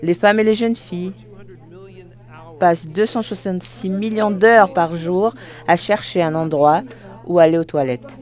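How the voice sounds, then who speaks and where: Live interpreter doing a formal speech, mid pitched voice, goes phrase by phrase n corrects themself. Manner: halting, phrase by phrase with self-corrections.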